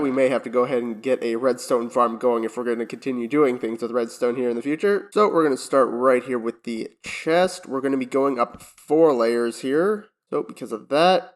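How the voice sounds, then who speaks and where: A man in the middle of the male range chats his way through a building session. He explains each step to his viewers and mutters to himself as he goes. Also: mid-range voice. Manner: chatty, talks to himself while building. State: strained voice, recovering from voice loss. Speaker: Minecraft YouTuber. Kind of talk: casual gameplay commentary.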